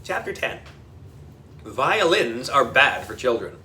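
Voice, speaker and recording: A man's voice: medium pitch, big pitch swings, slow pace, pushed and bright, distant mic. A man narrating a children's audiobook in a playful, exaggerated storytelling manner. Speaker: audiobook reader.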